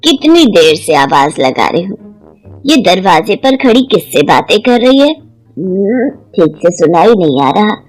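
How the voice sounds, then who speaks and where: A woman acts out an old mother-in-law from a cartoon moral tale, suspicious and quick to complain. Her words drag and drop into mutters, with music playing behind her. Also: medium pitch, big pitch swings, poor audio. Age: older.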